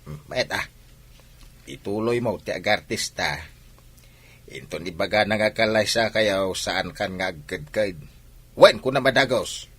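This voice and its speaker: A man's voice: fairly low pitch, a little slow, long pauses, a bit bright, husky, exaggerated voice. A man playing a fussy, scheming, money-minded middle-aged father in an Ilocano-accented radio drama. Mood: prodding.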